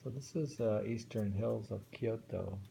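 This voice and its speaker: A man's voice: on the low side, a little slow, gravelly, crowd noise. A man casually reading translated poems aloud and musing about them, hesitant and thinking aloud. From New York. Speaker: poetry reader. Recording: faint background noise.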